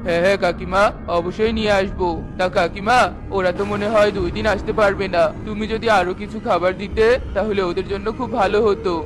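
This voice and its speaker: A man voicing a greedy, sly male crow in a children's cartoon fairy tale, wheedling and excitable. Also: high-pitched, fast, music in the background, close to an everyday voice.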